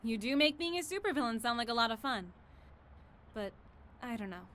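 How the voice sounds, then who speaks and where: A woman's voice: a little high, animated, fairly quick, fairly bright tone, slight background noise. A woman playing an ambitious exiled royal in an audio drama, with an imperious, earnest and assured way of talking. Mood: composed, curious. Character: proud, ambitious exiled young female royal, would-be conqueror.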